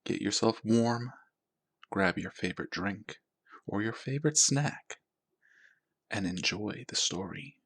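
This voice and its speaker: A man with a fairly low voice reads a scary story. He narrates in a measured, dramatic storytelling manner. Music plays behind his voice. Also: expressive, a bit bright, breathy edge. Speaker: storyteller, channel host. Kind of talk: scripted horror story narration.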